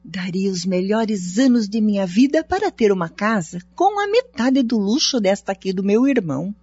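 An actress playing an envious, catty, two-faced sister-in-law in a radio soap opera. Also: female voice, medium pitch, sing-song, normal speed, a little mellow, husky, no echo. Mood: envious, wistful. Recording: dull lo-fi audio.

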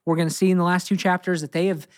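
A man's voice: high-pitched, flat delivery, racing. An American man co-hosting a casual Bible discussion, speaking earnestly and emphatically, often repeating phrases for weight. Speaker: podcast co-host and Bible teacher.

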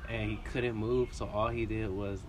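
A man with a fairly low voice tells a story in loose, animated chat. He strings it together with "like" over and over. Street noise carries clearly behind him. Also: normal speed. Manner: animated storyteller, heavy use of "like". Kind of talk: casual conversation.

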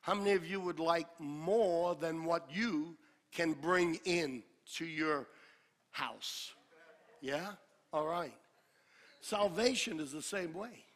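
A male pastor preaching a sermon, building to shouted peaks and dropping into joking asides. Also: fairly high pitch, takes it slowly. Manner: builds to shouted climaxes, then drops to a jokey aside. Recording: long hall reverb.

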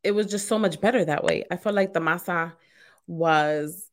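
A woman podcast host from Brooklyn with a slightly low voice. She talks in a casual, conversational way, often dropping in "like" and "you know" as she interviews. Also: normal speed. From Brooklyn, New York.

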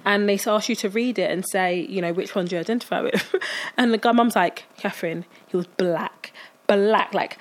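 A woman in her late twenties, co-hosting a casual chat, an animated storyteller who acts out what others said. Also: mid-range voice, moderate pace. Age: 20s. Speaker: podcast co-host.

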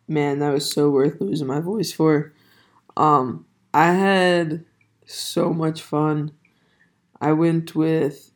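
Hoarse young podcast host, rambling, lots of ums and restarts, monotone delivery, mid-pitched voice. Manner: rambling, filler-heavy, self-correcting. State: hoarse, voice not fully back. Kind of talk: casual solo podcast chat.

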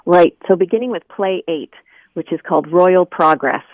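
A woman's voice: fairly low pitch, moderate pace, no echo. Woman guest on a thin phone line. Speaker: interview guest, editor and scholar. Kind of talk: conversational radio interview.

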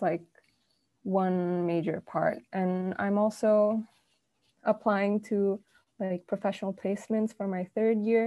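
A young woman talks loosely with friends, full of fillers and restarts. Her voice is a little croaky, as if she has just woken up. The sound is close and dry, with no room around it. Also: mid-range voice, warm tone, dry recording. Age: young adult. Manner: rambling, lots of fillers. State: croaky morning voice. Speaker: university student. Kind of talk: casual group chat.

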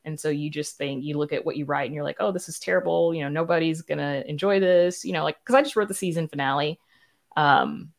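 Low-voiced woman, candid and self-deprecating. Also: fast, gravelly. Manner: candid, self-deprecating, punctuates talk with "you know". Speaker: podcast guest, writer and producer. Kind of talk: candid casual conversation.

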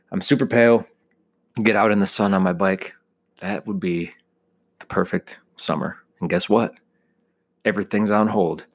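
American male, thirties, low-pitched voice, loose conversational solo monologue with joking exaggeration. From United States. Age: 30s. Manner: joking, self-deprecating exaggeration. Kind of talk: casual rambling solo monologue.

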